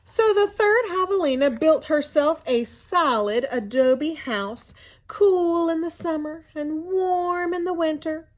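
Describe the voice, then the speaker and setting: A woman reading a storybook aloud to children in an expressive, teacherly way, pausing to explain and ask questions. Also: very high voice. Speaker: school media coordinator reading to children.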